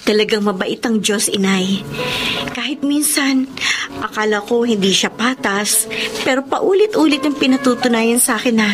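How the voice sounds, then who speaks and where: An actress playing a devoted, hopeful young daughter in a Filipino radio drama, expressive and earnest. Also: female voice, on the high side, natural voice.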